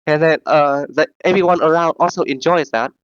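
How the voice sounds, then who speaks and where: A man with a sore throat in a casual group voice chat, rambling and halting, full of fillers. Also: on the high side, clear, resonant tone, dry recording, muffled recording. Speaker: discussion participant.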